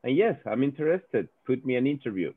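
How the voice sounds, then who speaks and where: A man's voice: mid-range voice, very animated, fairly quick, no echo. A man from Venezuela, a native Spanish speaker talking in accented English on a video call, earnest and often repeating words as he searches for them. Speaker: guest speaker, company executive. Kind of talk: informal talk on a video call. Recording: dry, phone-like video call audio.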